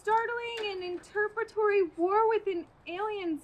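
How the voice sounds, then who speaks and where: Woman acting a high, halting, bumbling army general. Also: slight background noise. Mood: stilted, official. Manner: halting, misreads words, stilted. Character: bumbling, touchy female three-star army general reading badly. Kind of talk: comic stage radio-play acting. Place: outdoors, community theater play in a parking lot. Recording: outdoor amateur stage performance.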